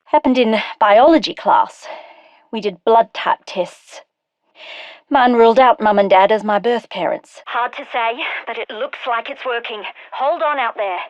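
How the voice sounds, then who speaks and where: An actress playing a brilliant, wry and determined scientist in a video game, speaking articulately in scripted lines and journals. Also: female voice, fairly high pitch, measured pace, no room sound, muffled recording, close to an everyday voice. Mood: reflective, uneasy, then brisk, wry. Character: brilliant wry female scientist, guilt-ridden, urgent and determined. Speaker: scientist character.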